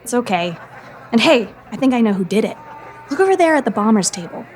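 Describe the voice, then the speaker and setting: Woman playing a high-voiced, feisty teenage girl. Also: sing-song, quick pace, slight background noise. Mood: indignant. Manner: sharp-tongued, dismissive. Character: feisty, indignant teenage girl student journalist. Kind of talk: scripted audio drama acting.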